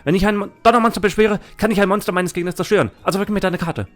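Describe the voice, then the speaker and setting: A man's voice: high voice, rapid-fire, a bit bright, faint music behind, pitched above the performer's own voice. A man voicing a cocky, sneering duelist who taunts and boasts, in an anime fan audio drama. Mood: taunting. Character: cocky, sneering male Thunder Dragon card duelist.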